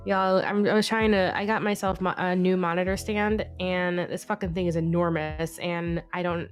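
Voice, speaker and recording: A woman's voice: on the low side, fairly even intonation, moderate pace, faint music behind. A woman hosting a live drawing stream, chatting casually, laughing often and swearing freely, a bit sniffly from a head cold. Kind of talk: casual chat while drawing.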